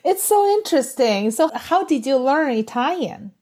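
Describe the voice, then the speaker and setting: A woman's voice: high voice, sing-song, normal speed, darkish tone. A woman hosting an interview, listening attentively and asking short questions. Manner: attentive, brief encouraging replies. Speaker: interviewer host. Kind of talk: interview podcast.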